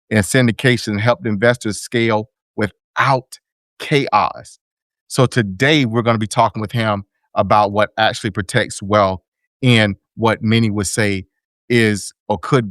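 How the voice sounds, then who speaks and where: Energetic man hosting radio. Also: fairly low pitch, no echo, clean studio sound. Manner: energetic, hype, folksy shout-outs. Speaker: radio show host. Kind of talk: upbeat radio show hosting.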